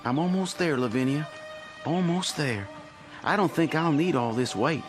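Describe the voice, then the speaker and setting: Radio drama, man playing homebound soldier: slightly high voice, distant and single-minded, repeating himself over prominent music. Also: animated, moderate pace, close to an everyday voice. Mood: distant, resolute. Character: determined, dazed Southern soldier trudging home from war.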